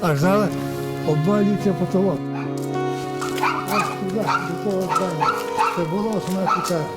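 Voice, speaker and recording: High-pitched local man from Ukraine, excited guide, music behind. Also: normal speed. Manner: excited, pointing things out.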